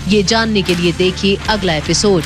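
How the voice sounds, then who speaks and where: A woman's voice: lowish voice, moderate pace, over music. A woman narrating a scripted drama story in a dramatic storytelling style.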